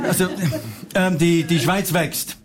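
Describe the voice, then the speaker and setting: A man's voice: on the high side, fairly even intonation, measured pace, a crowd in the background. A man, answering dryly and hesitantly, sometimes rambling while he explains. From Switzerland. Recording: noisy recording.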